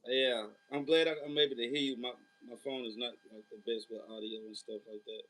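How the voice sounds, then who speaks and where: An easygoing man hosting a casual interview over the phone. He talks in slang and fills gaps with "um" and "you know". His voice sits at a middle pitch. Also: steady tone, a little fast, fairly bright tone, low-quality recording. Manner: laid-back, slangy, many fillers. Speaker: interview host.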